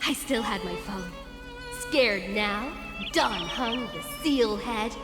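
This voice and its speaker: A woman's voice: medium pitch, takes it slowly, echoey room, long reverb tail, music in the background. A woman playing a cheeky young female adventurer in a video game, playful and teasing. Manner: playful, lively. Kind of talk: English video game voice acting.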